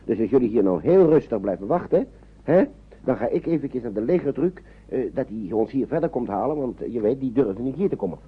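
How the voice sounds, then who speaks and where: A man's voice: medium pitch, expressive, a little fast, slightly rough, phone-quality sound. An actor in a radio play voicing a kindly, anxious man shepherding children, fussy and reassuring, often repeating himself. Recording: noisy old radio play recording.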